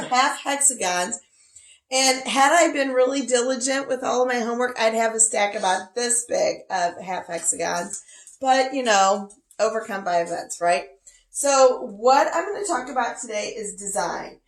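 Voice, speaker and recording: A woman with a scratchy voice and a slight cough, teaching a casual quilting lesson from her home sewing studio in a chatty, folksy way. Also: on the high side. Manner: chatty, folksy, talks to her dog between steps. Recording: echoey room.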